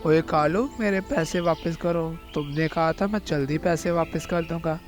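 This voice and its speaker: A man's voice: fairly high pitch, moderate pace, lightly acted. A man voicing a threatening, bullying eagle moneylender in a cartoon. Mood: stern, demanding. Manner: threatening, demanding. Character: menacing male eagle moneylender, a bullying villain. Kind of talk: Hindi cartoon voice acting. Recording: faint music behind the voice.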